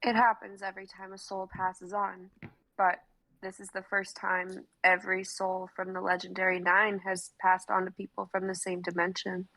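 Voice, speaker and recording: A woman's voice: medium pitch, monotone, normal speed, sharp tone, no echo, poor audio. A woman reading the part of a guarded female guide who speaks tersely and matter-of-factly.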